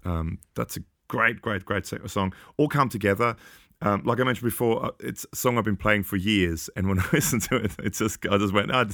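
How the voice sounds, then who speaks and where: A low-pitched man chats about songs he loves, full of ums and restarts and quick laughs. His deep voice sits close and dry, with no room sound around it. He talks like a musician among friends. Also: creaky, fairly bright tone, dry recording. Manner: rambling, hesitant, laughs often. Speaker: podcast guest. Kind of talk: casual music discussion.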